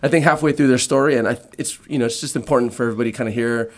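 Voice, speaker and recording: A man in his late forties hosts a relaxed podcast chat with a middle-range voice. He rambles as he sets up the episode, circling back and restarting his phrases as he goes. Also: mid-range voice, racing. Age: 40s. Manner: rambling, restarts phrases while laying out the show. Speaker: podcast host.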